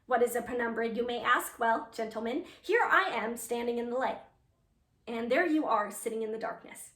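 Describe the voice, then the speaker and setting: A woman's voice: a little high, moderate pace, fairly bright tone, distant mic. A woman playing an earnest, eager playwright reliving her teenage speechmaking self, in a chatty, emphatic theatrical monologue addressed to an audience. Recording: echoey room.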